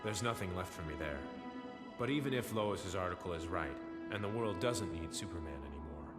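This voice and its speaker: A man's voice: low voice, lively intonation, fairly quick. A man playing an earnest, noble superhero in a dramatic video game, with a solemn manner. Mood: reflective. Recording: loud music over the voice.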